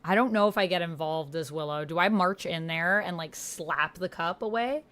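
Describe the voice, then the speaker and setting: A woman's voice: fairly low pitch, normal speed, a bit bright. A woman hosting a tabletop roleplay livestream, chatting casually and thinking aloud. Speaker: livestream host and tabletop player. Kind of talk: casual livestream chat, reading out typed roleplay.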